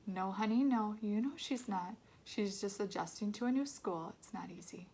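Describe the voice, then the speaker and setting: A gentle mother from a children's story, voiced by a woman reading aloud. She is loving and affectionate, talking to her child in a soothing way. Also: mid-range voice, normal speed, close to an everyday voice. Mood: soft, soothing.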